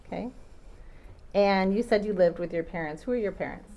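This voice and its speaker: A woman attorney questioning a witness in a courtroom, patient and measured, with occasional ums. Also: medium pitch, dark tone. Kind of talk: courtroom direct examination.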